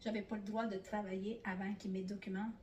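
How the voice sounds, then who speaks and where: A middle-aged woman from Italy speaks French with a clear Italian accent. Her speech is casual and hesitant, full of fillers. She sounds set back from the mic in a roomy space with faint background noise. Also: mid-range voice, flat delivery, fast talker, a little mellow, recorded from a distance. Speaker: guest. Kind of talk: casual interview.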